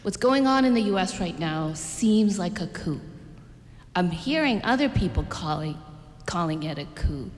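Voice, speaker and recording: A woman's voice: lively intonation, normal speed. A woman from Chile asking an earnest question from the audience. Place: large church hall. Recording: hall reverb, faint rumble behind.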